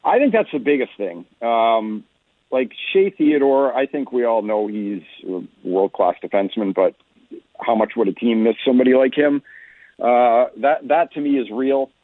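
A man talks sports as a radio guest over a narrow, phone-like connection. His voice is husky and very dry, with a mid pitch. He explains things conversationally and leans on key points for emphasis. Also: moderate pace, dry recording. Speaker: hockey analyst guest. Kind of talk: radio sports interview analysis. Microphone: remote phone-like connection. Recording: narrow phone-like line, muffled detail.

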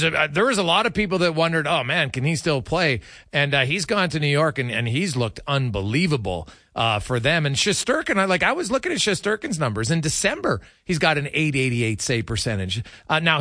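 A man's voice: a little high, sharp tone, dry recording, clean studio sound. A man hosting a casual, conversational sports radio interview, freely offering his opinions.

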